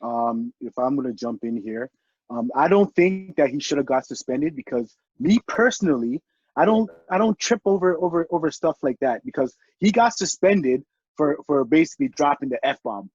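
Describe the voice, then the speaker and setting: A man speaking as a guest on a podcast, giving opinions in casual chat, halting and restarting often. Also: mid-range voice, lively intonation, a little mellow, slightly rough, dry recording. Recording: dull, dry lo-fi sound.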